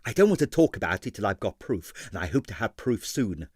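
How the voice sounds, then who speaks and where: A man's voice: lowish voice, sing-song, a little fast, darkish tone, dry recording, clean studio sound. A man voicing a self-important amateur sleuth in a storybook, earnest, boastful and confidential in manner.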